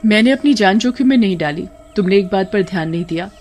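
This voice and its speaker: A woman's voice: mid-range voice, lively intonation, fast talker, a little mellow, slight background noise, close to an everyday voice. Woman acting a brave, assertive village wife. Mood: confident. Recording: music behind the voice.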